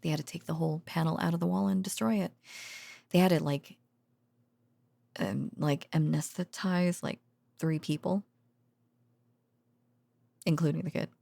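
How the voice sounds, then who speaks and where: Woman playing a weary, affectionate researcher girlfriend, ASMR audio roleplay, soft half-whispered murmur, frequent vocal fry, very dry close-mic sound, hesitant intimate delivery. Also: deep voice, fairly even intonation, moderate pace, long pauses, dry recording, exaggerated voice. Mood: wry, musing. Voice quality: soft, breathy, partly whispered. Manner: hesitant, drowsy, musing, with sighs and soft chuckles. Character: tender, weary female secret-facility researcher and girlfriend. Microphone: close microphone.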